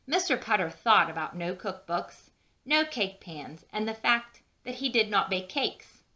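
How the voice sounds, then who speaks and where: A woman reading a children's storybook aloud with expressive, drawn-out emphasis and chatty asides. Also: mid-range voice. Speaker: children's book read-aloud narrator.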